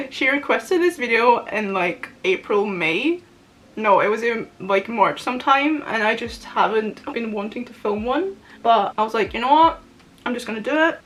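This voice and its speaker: A woman's voice: a little high, lively intonation, moderate pace, a bit bright, low-quality recording. A young woman from Norway, a native Norwegian speaker, chatting in English while doing her make-up for a get-ready-with-me vlog, rambling and giggling with lots of "like". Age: young adult. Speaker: booktuber.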